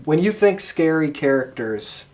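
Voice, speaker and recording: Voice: mid-range voice, expressive, a little slow, darkish tone. A podcast host chatting casually. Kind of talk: casual chat.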